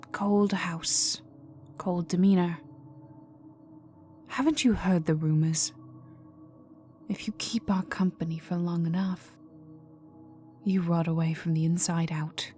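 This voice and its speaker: A woman playing a cold, haughty vampire aristocrat in a dark ASMR roleplay, condescending and teasing, often dropping to a whisper. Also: fairly low pitch. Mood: menacing. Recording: voice over dark ambient music. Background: dark atmospheric music with resonant tones.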